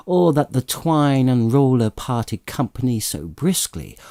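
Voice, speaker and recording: A man's voice: medium pitch, warm tone, no echo, clean studio sound. A man narrating a classic literary story as an audiobook, theatrically savoring each descriptive phrase.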